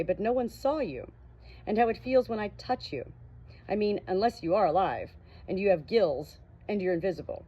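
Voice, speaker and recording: Woman doing eager young guy in an audiobook, husky, earnest, casual and intense. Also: medium pitch, lo-fi sound, voice pushed up.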